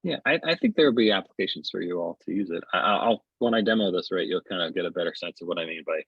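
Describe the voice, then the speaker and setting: A middle-aged man giving a casual webinar demo in a halting, conversational way, on dull video-call audio. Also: sits low, expressive, slightly rough, no room sound. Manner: halting, conversational, often checks with "right?". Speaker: software developer presenting his tool. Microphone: earbuds on a video call.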